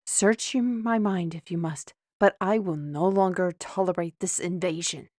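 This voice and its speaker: A woman's voice: lowish voice, a little slow, a little mellow, no echo, studio quality. An actress playing a sarcastic, defiant young woman who stands up to a commander in an audio drama. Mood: indignant. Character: defiant, sardonic young woman, lamp wielder.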